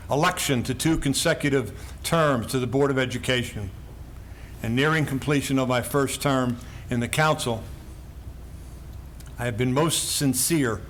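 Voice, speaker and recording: An older man delivers a prepared campaign statement in a formal, emphatic way, stressing each item in a list. His pitch sits in an ordinary middle range, and a faint hiss runs under the voice. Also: a bit bright. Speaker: council candidate.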